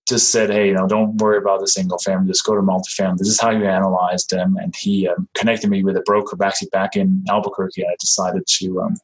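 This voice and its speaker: A man in his forties from Denmark with a Danish accent, speaking as a podcast guest in a relaxed, halting way with many fillers and restarts. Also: deep voice, husky, poor audio. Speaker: guest, real estate investor. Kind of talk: casual interview talk.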